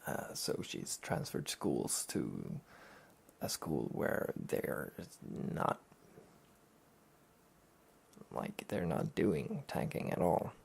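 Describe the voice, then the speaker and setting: A soft-spoken man hosting a relaxed review show, often near a whisper, rambling and hesitant in an understated way. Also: very deep voice, slow, vocal fry, breathy edge. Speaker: host of a soft-spoken anime talk show.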